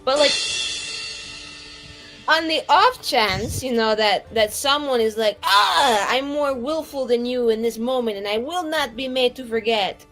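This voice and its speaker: A woman improvising in-character dialogue in a tabletop roleplaying game, speaking in a halting, questioning way. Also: a little high, animated, fairly bright tone, soft background music. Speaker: tabletop RPG player.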